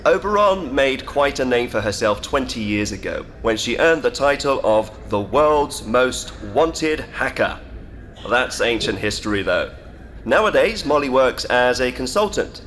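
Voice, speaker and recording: A man's voice: mid-range voice, a bit bright. An actor playing a courteous, enthusiastic male host moderating a tech conference in an audio drama. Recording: clear background noise, event recording.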